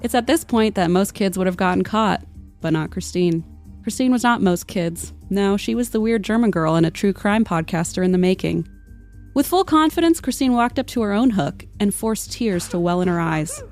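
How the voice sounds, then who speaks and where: A woman's voice: mid-range voice, expressive, moderate pace, a little mellow. Woman telling a mock-dramatic story over music. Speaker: podcast storyteller. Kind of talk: scripted comedic storytelling narration. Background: music under the voice.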